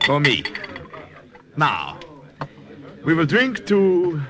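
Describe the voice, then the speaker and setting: Suave, swooping officer. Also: male voice, on the high side, huge pitch swings, extremely slow, fairly bright tone, full character voice. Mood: amorous, teasing. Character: suave German officer, amorous and commanding former lover. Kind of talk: old-time radio acting. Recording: vintage radio recording with music behind.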